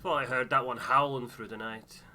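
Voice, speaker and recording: A man voicing a blunt, gruff expedition crewman in a game, terse and wry. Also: medium pitch, steady tone, normal speed, sharp tone. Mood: gruffly wry. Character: gruff, blunt crewman tending a cramped boiler.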